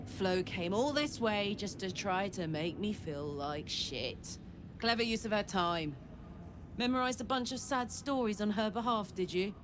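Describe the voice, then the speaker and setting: A woman's voice: mid-range voice, expressive, unhurried, lightly acted. An actress playing a tough, blunt female fighter in a video game, with a wry, sardonic manner. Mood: bitter sarcasm. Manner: wry, blunt, casual swearing. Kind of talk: video game character dialogue. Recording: constant noisy ambience behind voice.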